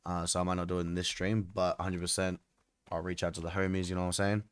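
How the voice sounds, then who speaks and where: A man's voice: low-pitched, level tone, fast, smooth. A young Australian man chatting casually with viewers on a live stream, slangy and easygoing, swearing and calling everyone "bro". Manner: laid-back slangy talk, swears, says "bro" a lot. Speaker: streamer and beat maker. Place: home studio room indoors.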